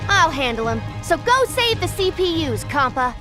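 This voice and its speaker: Brash, animated anime game girl. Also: sits high, moderate pace, music in the background. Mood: urgent. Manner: blunt, exasperated. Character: brash, impatient, protective tomboyish young girl.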